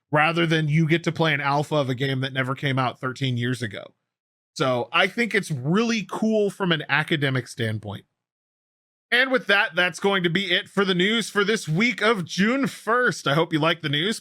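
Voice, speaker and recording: A man's voice: fairly high pitch, bright, forceful tone, dry recording, clean studio sound. A man hosting a gaming news show, giving casual commentary in a talkative, explanatory, informal way.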